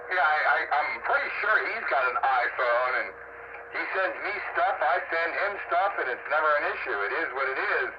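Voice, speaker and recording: A man's voice: on the high side, lively intonation, moderate pace. A man chatting casually over two-way radio as an amateur operator, rambling along in colloquial language. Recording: dull, noisy two-way radio recording.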